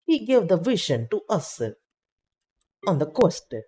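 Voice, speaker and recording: A woman's voice: lowish voice, big pitch swings, measured pace, warm tone, slightly rough. A woman playing a vain male French magician with a put-on French accent while streaming a game in casual, pompous chat. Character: vain, prideful self-styled great French stage magician, male. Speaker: live streamer.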